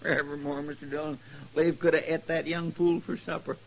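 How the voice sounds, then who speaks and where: Creaky, folksy frontier sidekick. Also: male voice, a little high, flat delivery, a little fast. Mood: incredulous. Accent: rural American. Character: folksy, good-hearted frontier deputy sidekick. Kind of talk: 1950s radio western acting. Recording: slightly noisy old radio recording.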